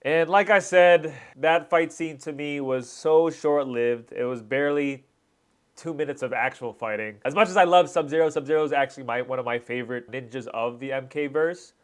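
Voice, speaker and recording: A man's voice: fairly high pitch, normal speed, clean tone. A man hosting a reaction video, giving casual, slangy fan commentary on fight scenes. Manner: informal slangy fan talk.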